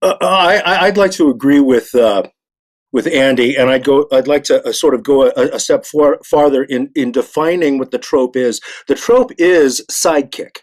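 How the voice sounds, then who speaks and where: A man on a discussion panel, making his argument haltingly, repeating words and stressing the key ones. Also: medium pitch. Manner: halting and repetitive, yet emphatic on key words.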